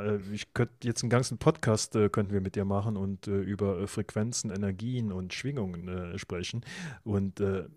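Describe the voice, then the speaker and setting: A man hosts a relaxed podcast interview, searching for words with lots of fillers along the way. His voice is on the low side, and the recording is dry and close. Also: no echo. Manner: hesitant, many "äh" and "ähm" fillers. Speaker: podcast host. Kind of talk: casual interview.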